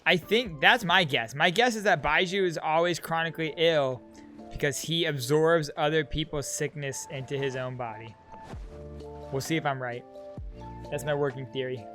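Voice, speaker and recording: Low-voiced wisecracking woman streamer. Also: normal speed, a bit bright. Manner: blunt, wisecracking, thinking aloud. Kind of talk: reactive gameplay commentary. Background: game audio and music.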